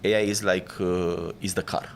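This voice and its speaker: A man speaking on a panel in a casual, measured way, explaining his points with analogies. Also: low voice, level tone, a little hiss or hum.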